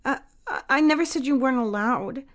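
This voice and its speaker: Audio story role: woman as small boy, innocent and sad, high voice, stammering and earnest. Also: brisk, natural voice. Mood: sulky, hesitant. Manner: hesitant, stammering.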